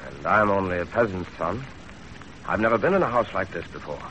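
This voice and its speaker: An actor playing a proud, plain-spoken young revolutionary leader of peasant birth, in 1930s radio drama style. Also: male voice, low-pitched, lively intonation, fairly quick, fairly bright tone. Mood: thoughtful. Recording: muffled, faintly noisy 1930s radio broadcast.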